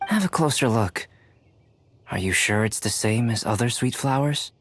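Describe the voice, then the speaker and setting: An actor voicing a calm, analytical young male alchemist in a video game, measured and polite. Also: mid-range voice, a little hiss or hum, close to an everyday voice. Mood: composed, analytical.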